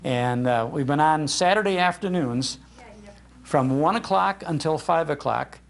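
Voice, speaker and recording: A man's voice: on the high side, measured pace, vocal fry. An older man, a radio host, giving an informal live talk in a chatty, anecdotal way into an event microphone. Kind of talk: informal public talk, storytelling. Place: speaking live in a public library room.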